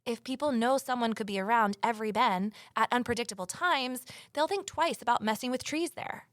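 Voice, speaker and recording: An actress playing a determined, persuasive amateur sleuth in an audio drama, earnest in both dialogue and first-person narration. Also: female voice, on the high side, a little fast, no room sound, studio quality, lightly acted.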